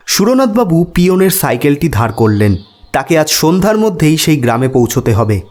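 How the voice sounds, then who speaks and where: Expressive male storyteller, lively pitch. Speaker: host and story narrator. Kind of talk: dramatic audio story narration. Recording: background music under voice.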